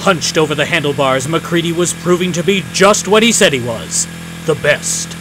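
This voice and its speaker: A man's voice: fairly high pitch, moderate pace, noisy background. A man narrating an old-time radio serial in a dramatic, melodramatic announcer's manner.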